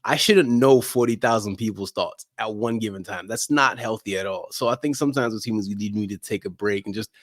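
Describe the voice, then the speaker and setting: Male podcast guest, lowish register, dry close sound; conversational storyteller, emphatic, repeats himself for effect. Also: fairly quick, hardly any pauses, no room sound. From Nigerian heritage, spent childhood time in South London. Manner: emphatic, repeats phrases, checks with "right?". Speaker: podcast guest, soccer media figure.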